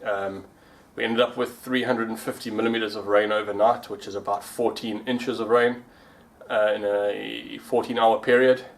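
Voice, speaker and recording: Guy with a lowish voice doing a casual vlog, bit hesitant, informal. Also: level tone, normal speed, vocal fry. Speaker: vlogger and amateur pilot.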